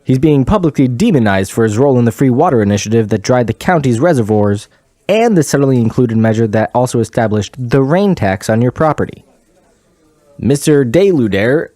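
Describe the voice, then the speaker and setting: A man's voice: medium pitch, warm tone, no echo, lightly acted. A man playing a pompous, self-righteous small-town radio news anchor who talks down to everyone in a mock local broadcast. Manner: pompous, sanctimonious.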